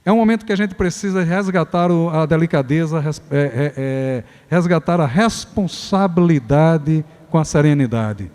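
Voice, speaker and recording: A Brazilian man giving a formal opening speech as a festival organizer, reflective and lofty, pausing and restarting his phrases. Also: a little high, darkish tone, smooth. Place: theater stage at a live event. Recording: theater hall reverb.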